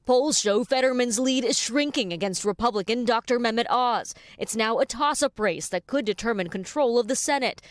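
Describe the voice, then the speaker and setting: A woman TV news reporter narrating a segment in a measured, formal way. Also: on the high side, dry recording.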